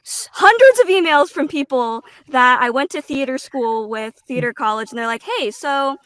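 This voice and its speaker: A woman in a casual roundtable talk as a voice actor, phrasing her thoughts deliberately and a little haltingly. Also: high voice, expressive, fairly bright tone, rough recording. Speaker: voice actor, panel guest.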